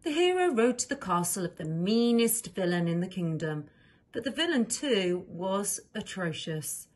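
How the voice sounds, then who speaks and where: A woman narrating a children's storybook as a reader, with expressive delivery. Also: mid-range voice, unhurried.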